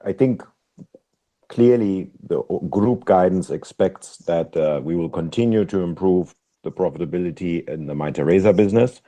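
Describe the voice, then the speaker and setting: Exec guy on an earnings call, really deep soft-edged voice, kinda halting, repeats words. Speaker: company executive.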